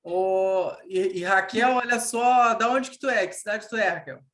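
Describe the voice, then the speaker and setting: A man's voice: high voice, moderate pace, fairly bright tone. A Brazilian man hosting a casual interview, speaking in an informal, slangy and encouraging way. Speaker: podcast host, interviewer. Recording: echoey room.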